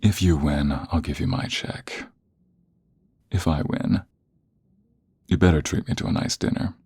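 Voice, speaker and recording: Guy doing a cocky seductive office rival asmr thing, super low gravelly voice, breathy and teasing, slow drawn out flirting. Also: a little mellow, no room sound, exaggerated voice. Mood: competitive, playful. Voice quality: very deep, husky, breathy half-whisper. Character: smug, seductive male office rival in a suit. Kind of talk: ASMR romantic roleplay, close and intimate.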